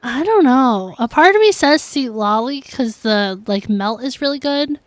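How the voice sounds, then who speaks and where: A woman's voice: high voice, lively intonation, unhurried, dry recording. A woman livestreaming a video game, chatting casually and thinking aloud as she answers viewers. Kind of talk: casual chat while playing a video game.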